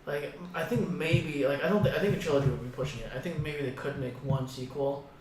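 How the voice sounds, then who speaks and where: A man co-hosting a casual gaming podcast, thinking aloud in a halting, tentative way. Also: on the high side, darkish tone, distant mic. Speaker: podcast co-host. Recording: very echoey, off-mic, faint room noise.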